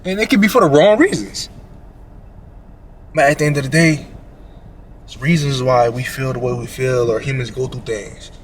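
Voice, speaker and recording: A man's voice: medium pitch, normal speed, pauses often, slight background noise. A man co-hosting a casual reaction commentary, slangy and rambling, talking straight to his audience. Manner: slangy, rambling storyteller who addresses the audience. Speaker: commentary co-host.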